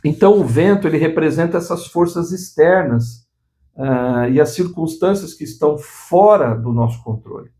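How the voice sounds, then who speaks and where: A man's voice: fairly high pitch, lively intonation, normal speed, mellow. A man in his sixties hosting his own channel, giving an earnest motivational talk to the viewer, often restarting phrases and adding tag questions. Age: 60s. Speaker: channel host.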